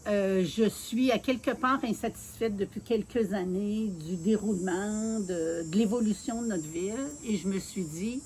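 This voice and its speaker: A Québécoise woman in her sixties speaks in a measured, earnest way, pausing often to find her words. Her voice sits at an ordinary middle pitch, with faint crickets and insects chirping behind her. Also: a little mellow, slight background noise. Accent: Quebec French. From Quebec City, Canada. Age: 60s. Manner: measured and earnest, with many filler pauses. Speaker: mayoral candidate being interviewed. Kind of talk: casual interview, telling her life story.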